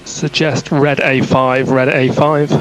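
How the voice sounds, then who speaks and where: A man's voice: mid-range voice, flat delivery, slow pace, fairly bright tone, faint music behind. A man working as a race engineer on team radio, giving clipped instructions and repeating each one. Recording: noisy team radio, vehicle noise.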